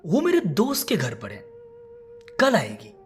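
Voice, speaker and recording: A woman's voice: on the low side, wildly swooping pitch, unhurried, darkish tone, soft background music. A woman voicing a careless, irritated adult son in a moral story, with a curt, dismissive manner.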